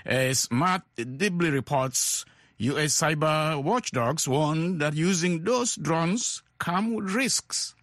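A man hosting a radio news program, presenting in a brisk, formal way. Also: on the high side, a bit bright, no room sound, studio quality. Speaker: radio host.

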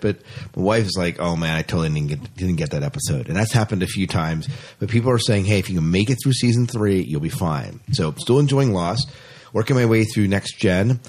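A man's voice: deep voice, a little mellow, slightly rough. A man chatting casually about science fiction as a podcast co-host, often repeating phrases for emphasis.

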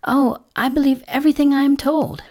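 A woman's voice: high-pitched, steady tone, fairly quick, darkish tone, voice pushed up, lightly acted. A woman voicing a mild, anxious female caterpillar in a storybook, fretful and earnest, given to exclaiming. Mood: indignant. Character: mild, anxious green caterpillar, female, kind but indignant. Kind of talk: storybook character voice.

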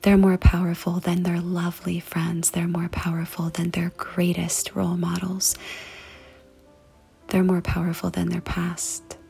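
A woman leading a guided meditation and prayer in a soft, hushed, breathy and creaky voice, speaking deliberately with pauses. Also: fairly low pitch, monotone. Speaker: podcast host and meditation guide. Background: music.

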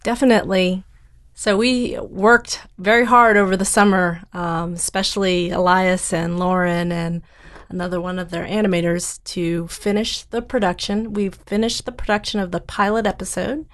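A woman's voice: fairly low pitch, fairly even intonation, moderate pace. A woman startup founder answering interview questions in an earnest, step-by-step way.

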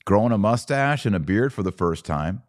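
A low-pitched male commentator delivers an emphatic solo monologue. His intonation rises and falls widely, with pointed rhetorical questions and weighty pauses. The sound is close and dry. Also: lively intonation, dry recording. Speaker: podcast host and commentator.

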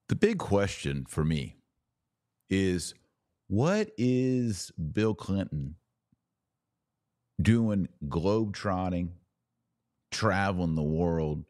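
Male podcast commentator, low voice, unhurried and emphatic, dry sound. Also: a little mellow, no echo. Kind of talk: conversational news commentary.

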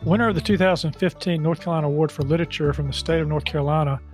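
A man's voice: fairly high pitch, steady tone, very fast, a little mellow, faint music behind. A man hosting a podcast, who talks loosely in a chatty way with many fillers. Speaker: podcast host. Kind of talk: podcast interview.

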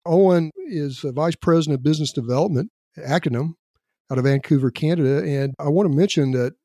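A man's voice: a little high, fast, dark tone, no echo, clean studio sound. A man in his sixties hosting a podcast, talking in a friendly, rambling way. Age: 60s. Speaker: podcast host. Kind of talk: casual interview talk.

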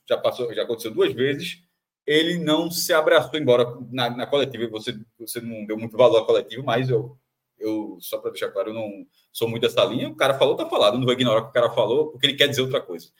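A Brazilian man analyzing football on a panel show, animated and full of restarts and repeated phrases. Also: mid-range voice. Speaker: football commentator. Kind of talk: sports analysis panel chat.

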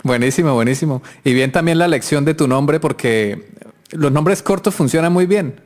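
A man hosting a music production podcast, asking questions in a relaxed, friendly, chatty way. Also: a little high, moderate pace. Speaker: podcast host. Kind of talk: casual interview.

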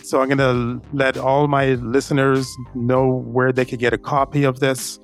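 A man's voice: mid-range voice, flat delivery, normal speed, faint music behind. A man hosting a podcast, speaking directly to his guest and listeners. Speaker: podcast host. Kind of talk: podcast hosting and scripted outro.

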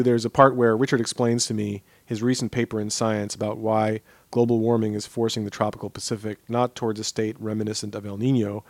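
A man's voice: fairly low pitch, dry recording, studio quality. A middle-aged man hosting an interview podcast, moving between prepared introduction and conversational questions. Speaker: podcast host interviewing a guest.

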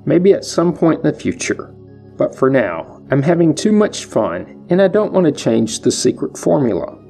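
A man hosting a solo history podcast, speaking in a measured, matter-of-fact way. His voice has a gravelly edge, and his pitch moves around a lot. Faint music sits low behind him. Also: sing-song, dark tone. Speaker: podcast host. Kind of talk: scripted solo podcast monologue.

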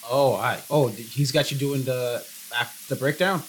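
A young man improvising in character as a tabletop game player, excitable, his voice jumping high when worked up. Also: normal speed, slight background noise, poor audio.

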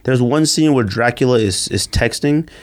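A man's voice: mid-range voice, normal speed. A man hosting a podcast in a casual, slangy, teasing way, like chatting with a friend. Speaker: podcast host. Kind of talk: casual banter.

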